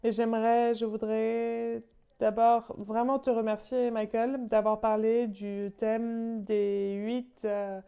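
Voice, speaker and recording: Female live interpreter on a call; unhurried, halting delivery, warm clean voice. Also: fairly high pitch, little pitch movement, gravelly, smooth. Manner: halting, searching for words. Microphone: video call.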